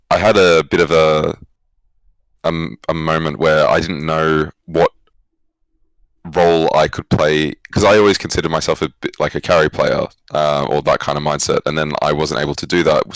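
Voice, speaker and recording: A man's voice: a bass voice, dry recording, poor audio. A young man with an Australian accent, answering interview questions casually and reflectively, rephrasing as he goes. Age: young adult. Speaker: esports coach, former pro player, guest.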